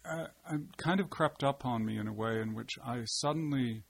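A man, a poet, answering interview questions in a halting, reflective way, often pausing with fillers and restarting his sentences as he searches for words. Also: medium pitch, creaky, slightly rough. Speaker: poet and translator, interview guest. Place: seated at a table.

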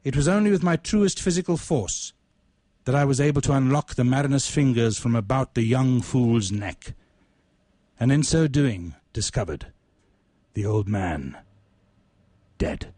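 Male storyteller, dry close recording, deliberate phrasing, literary narration, mid-range pitch. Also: warm tone, no echo. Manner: ornate, deliberate, measured phrasing. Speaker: writer reading own short story. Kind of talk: dramatic literary story reading.

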